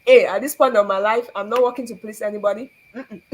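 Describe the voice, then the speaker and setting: Cameroonian lady hosting a faith chat, earnest, preachy, kinda high voice. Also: animated, normal speed, breathy edge, poor audio. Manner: earnest, preachy, asks rhetorical questions, laughs often. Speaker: show host. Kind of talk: motivational faith talk.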